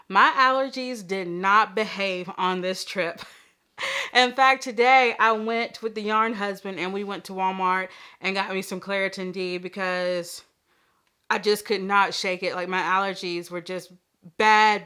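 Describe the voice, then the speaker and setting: A young woman hosts her own vlog in easy, rambling chat, a little stuffy-nosed from allergies. Her voice is bright and forward, and she keeps it crisp and smooth between frequent little laughs and fillers. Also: medium pitch. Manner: chatty, laughs often, many fillers. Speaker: vlog host. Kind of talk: casual chat.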